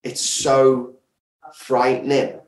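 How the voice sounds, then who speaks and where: A man's voice: medium pitch, completely flat delivery, drawn-out words. A man speaking as a guest in a casual interview, enthusiastic and emphatic, often repeating words for stress. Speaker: guest, audio drama creator. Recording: roomy echo, distant from the mic.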